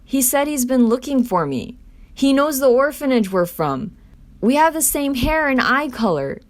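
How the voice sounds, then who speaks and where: A woman's voice: high-pitched, sing-song, normal speed, voice pushed up. A woman reading the part of a loyal, defiant sixteen-year-old boy who protests earnestly in a scripted scene. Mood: pleading, insistent. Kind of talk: scripted scene table read.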